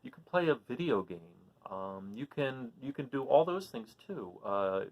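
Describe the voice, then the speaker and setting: A man hosts storytime for children with a very animated, mid-pitched voice. He is expressive and casual, explaining things in simple words for young listeners. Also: normal speed, dull audio. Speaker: children's read-aloud host.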